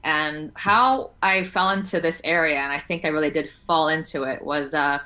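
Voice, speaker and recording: A woman from Hong Kong who works as a teacher answers a host's questions in a friendly, conversational way. Her voice sits low in pitch but has a bright, crisp edge, and she uses easy fillers as she talks. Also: normal speed. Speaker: guest, learning support teacher. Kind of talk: interview answers.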